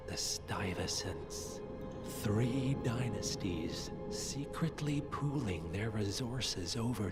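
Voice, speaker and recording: An urbane male villain in a cinematic game, speaking slowly in a low voice. He is smug, theatrical and condescending, with loud music behind him. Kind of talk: cinematic video game dialogue.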